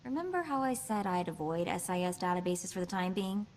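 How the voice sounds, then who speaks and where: An actress playing a sassy, quick-witted young woman hacker in a video game, with a chatty, wry delivery. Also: lowish voice, animated, a little mellow, slight background noise. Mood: uneasy. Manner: wry, chatty, hesitant asides.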